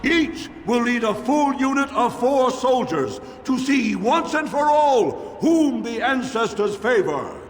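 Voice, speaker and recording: Dwarf arena announcer for a fantasy game, high voice, super formal and grand, slow delivery, big echoey hall. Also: male voice, lively intonation, a little hiss or hum, heavily acted. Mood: grand, rousing. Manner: declamatory, formal, ceremonial. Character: booming male dwarf arena master, grandly ceremonial. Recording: long hall reverb, music behind.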